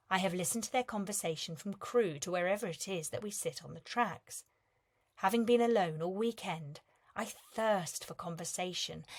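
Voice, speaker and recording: A woman with a slightly low voice reads prose in a measured, reflective way. She lets the ends of her lines soften and fall away. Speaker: reader of a competition entry. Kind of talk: calm literary reading.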